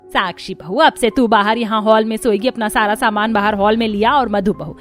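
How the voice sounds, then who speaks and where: A woman's voice: medium pitch, steady tone, a little fast, soft background music, lightly acted. A woman voicing a greedy, boastful, bossy older mother-in-law in a Hindi cartoon story, with a scolding, emphatic manner.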